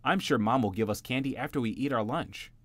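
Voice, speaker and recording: A man voicing a sensible, rule-following oldest bunny brother in a storybook, in a calm tone. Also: mid-range voice, fairly quick, no room sound, voice pushed up, close to an everyday voice. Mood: reassuring.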